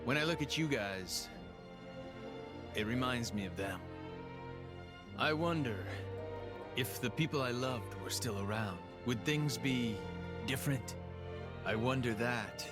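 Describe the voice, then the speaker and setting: A world-weary, cynical game character voiced by a man speaks slowly over prominent music. He sounds resigned and reflective, bitter about the world but wistful underneath, in a mid-pitched voice. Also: close to an everyday voice. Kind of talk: dramatic video game cutscene acting.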